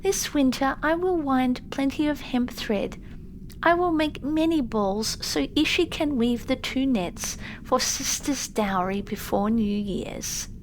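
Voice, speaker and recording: Woman voicing an eager, high-voiced young girl. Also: slow pace, slight background noise, poor audio. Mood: apologetic, earnest. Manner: earnest, respectful. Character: eager, polite ten-year-old Japanese girl. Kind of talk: audiobook character voice.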